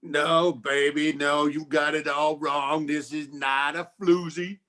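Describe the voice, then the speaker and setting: Male actor as a hardboiled gumshoe, forceful edge, unhurried delivery, slangy and wry, retro radio play. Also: fairly high pitch, flat delivery. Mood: flustered, pleading. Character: wisecracking hardboiled 1940s private detective, man, streetwise. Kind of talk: campy 1940s radio noir acting. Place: live community theatre stage performance.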